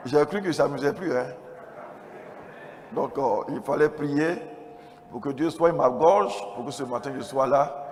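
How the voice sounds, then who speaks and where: A man with an Ivorian French accent giving a testimony as a pastor at a church convention, his throat strained by a cold, moving between quiet asides and loud exclamations. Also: mid-range voice, unhurried, long pauses. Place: large church convention hall. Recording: reverberant hall PA, noisy background.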